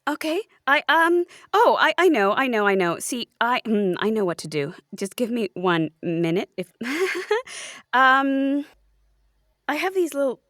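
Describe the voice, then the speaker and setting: A woman performs a nervous hypochondriac writer in a comic hospital drama. The voice is fairly high and dryly recorded. The delivery is halting and theatrical. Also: big pitch swings, a bit bright, dry recording, studio quality. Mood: flustered.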